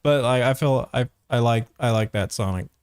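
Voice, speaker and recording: A man's voice: mid-range voice, normal speed, darkish tone, clear, resonant tone, no room sound, clean studio sound. A man giving casual, hesitant stream commentary, thinking aloud as he reviews a dub. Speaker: voice actor reviewing a fandub.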